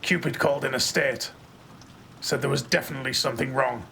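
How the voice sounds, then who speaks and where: An actor playing a brash, violent yet gruffly protective marshal in an audio drama, blunt and brusque in delivery. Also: male voice, mid-range voice, fairly even intonation, brisk, sharp tone, a little hiss or hum. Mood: gruff concern.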